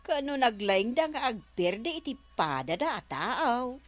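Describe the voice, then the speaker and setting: A woman playing a grumbling, slow-witted village woman in an Ilocano radio comedy, complaining haltingly in an Ilocano accent. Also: fairly high pitch, sing-song. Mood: indignant.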